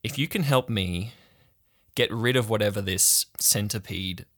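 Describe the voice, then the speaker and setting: A man's voice: fairly low pitch, a little slow, fairly bright tone. A man voicing a blunt, scheming girl at a summer camp, in a dry, matter-of-fact way. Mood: blunt, bargaining.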